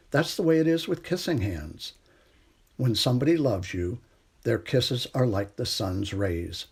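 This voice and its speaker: A man acts out a mother raccoon in a children's storybook. She is tender and reassuring, speaking in a soothing way. The voice is mid-pitched and a little husky. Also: full character voice. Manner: gentle, soothing, warm delivery.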